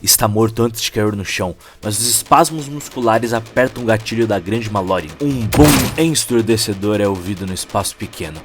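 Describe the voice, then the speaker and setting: A man with a Brazilian accent reading a dramatic scripted story as narrator of an audio drama. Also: on the low side.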